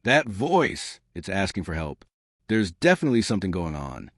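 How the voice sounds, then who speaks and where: A man's voice: sits low, very animated, normal speed, a bit bright, no echo, clean studio sound, heavily acted. A man reading as a curious tech-savvy teen boy. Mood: earnest.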